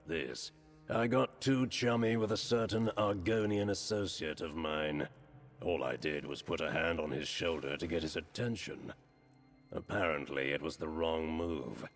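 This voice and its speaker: A man's voice: extremely low pitch, heavily acted. A man playing a chatty, slyly menacing crime lord in a fantasy video game, with an insinuating, falsely courteous manner. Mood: wry, veiled menace. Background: background music.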